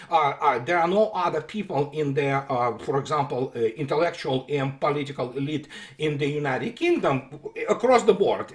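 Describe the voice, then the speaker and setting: High-voiced man with a sarcastic rant. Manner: sarcastic, emphatic, many fillers and restarts. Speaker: political commentator. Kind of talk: unscripted monologue commentary.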